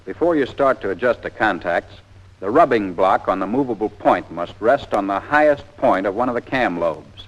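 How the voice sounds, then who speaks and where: A man's voice: on the low side, expressive, moderate pace, lo-fi sound. An American man narrating a 1940s army training film in a scripted, plain-spoken, methodical instructional style.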